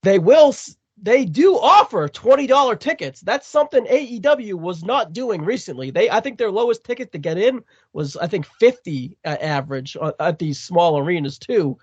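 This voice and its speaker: A man with a Boston accent co-hosting a casual wrestling podcast, animated and prone to repeating words. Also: high voice, moderate pace, few pauses, husky, dry recording, highs cut off. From Massachusetts, USA. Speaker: podcast co-host.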